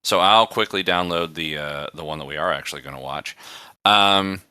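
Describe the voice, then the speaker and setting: Deep, bright-voiced man chatting on a podcast. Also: normal speed, smooth, dry recording. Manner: rambling, conversational. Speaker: podcast host. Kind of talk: casual chat.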